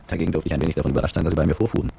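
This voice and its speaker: Gravelly, fast-talking brooding male narrator. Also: extremely low pitch, steady tone, dark tone, exaggerated voice. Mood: wry, watchful. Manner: terse, reflective, colloquial. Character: brooding petrol-station attendant and former soldier, recalling events. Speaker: narrator and protagonist. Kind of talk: literary first-person radio drama narration.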